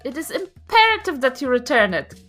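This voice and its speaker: A woman's voice: high-pitched, big pitch swings, brisk, bright, forceful tone, soft background music, full character voice. A woman voicing an indignant, grumpy old grandmother with a haughty, emphatic, grandstanding manner in a tabletop game. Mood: righteous indignation.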